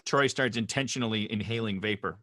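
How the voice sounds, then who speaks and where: A man's voice: fairly low pitch, brisk, noisy background. A man narrating stage directions at a comedy table read over a video call, with a wry, joking manner.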